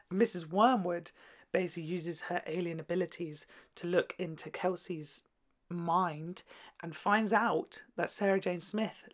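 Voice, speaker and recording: Effusive, campy woman reacting, lowish voice. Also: fairly bright tone. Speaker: YouTube host reviewing a children's TV show. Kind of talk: casual, gushing reaction commentary.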